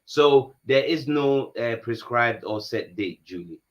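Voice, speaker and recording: Co-host, a man from Ghana, mid-pitched, soft-spoken, pausing often to find his words. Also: expressive. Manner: soft-spoken, halting with many fillers. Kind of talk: live Q&A answering viewers.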